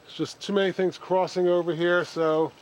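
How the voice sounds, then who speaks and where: A man hosting an unscripted hands-on plant demonstration, speaking haltingly and thinking aloud as he works. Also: high voice, flat delivery, slight background noise. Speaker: bonsai hobbyist host.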